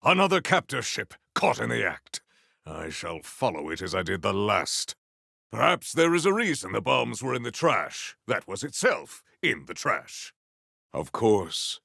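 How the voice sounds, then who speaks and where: A stern, honorable warrior for a video game. His low voice moves slowly but with broad, theatrical swings of intonation. He phrases everything formally and grandly, with deadpan earnestness. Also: creaky, no echo, studio quality, full character voice. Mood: solemn, formal.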